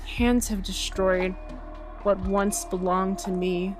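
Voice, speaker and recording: A woman acting as a stand-in radio presenter in an audio drama, with a mid-pitched voice and very slow delivery. Music plays clearly underneath as she delivers a dramatic segment. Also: level tone, lightly acted. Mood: bitter, wounded. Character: brisk, wounded radio colleague recording a stand-in poetry segment. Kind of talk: radio fiction, off-the-cuff talk and dramatic poetry reading.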